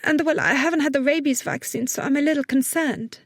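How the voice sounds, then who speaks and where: A woman's voice: high-pitched, very animated, a little fast, dry recording, clean studio sound, voice pushed up. A woman voicing a frightened, tearful young clinic assistant in an audiobook, trembling, hesitant and apologetic. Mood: anxious. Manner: hesitant, anxious. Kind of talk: audiobook character acting.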